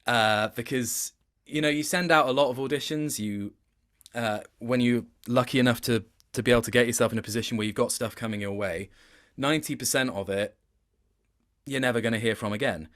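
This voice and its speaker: A man giving a casual interview as a voice actor, thoughtful and halting, often interrupting himself. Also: medium pitch, fairly bright tone.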